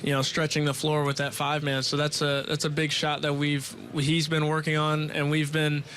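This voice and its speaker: A young man, a college basketball player, giving measured, matter-of-fact answers in a media day interview. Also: a little high, completely flat delivery, a bit bright, a little hiss or hum. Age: young adult.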